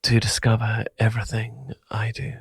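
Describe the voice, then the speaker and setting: A man, the channel host, giving a soft, intimate whispered outro for his ASMR channel. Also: on the low side, monotone, moderate pace, gravelly, husky, dry recording.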